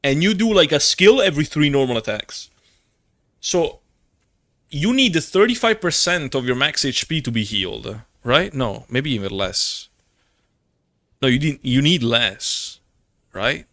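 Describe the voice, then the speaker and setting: A man's voice: mid-range voice, sing-song, measured pace, pauses often, bright, forceful tone. A young man chatting casually on a gaming live stream, rambling and slangy, playfully exasperated and prone to swearing. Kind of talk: casual live-stream chat while playing.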